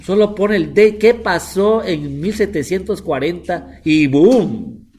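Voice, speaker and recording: A middle-aged man leads a class as a rabbi, with a voice that sits a little high for a man. He talks in a loose, chatty way, repeating phrases and dropping in English words as he explains. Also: animated, slow pace, darkish tone. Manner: chatty, repetitive, mixes English words into Spanish. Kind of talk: informal Bible lesson.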